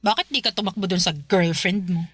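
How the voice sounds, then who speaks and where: An actress playing a blunt, practical older sister who scolds her brother in an exasperated, sharp way. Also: on the low side, brisk, husky, no room sound. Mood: questioning.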